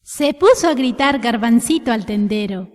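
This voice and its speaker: High-voiced woman storyteller in long reverb. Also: expressive, normal speed, darkish tone. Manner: addresses young listeners directly. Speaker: children's storyteller. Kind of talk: expressive scripted storytelling for children.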